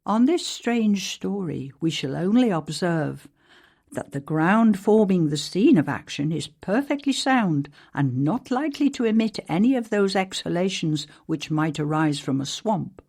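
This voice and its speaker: A woman narrating an archaic newspaper account as a ghost story, in a measured, formal storytelling manner. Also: fairly low pitch, expressive, a little mellow. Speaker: actor reading a historical newspaper article as a ghost story.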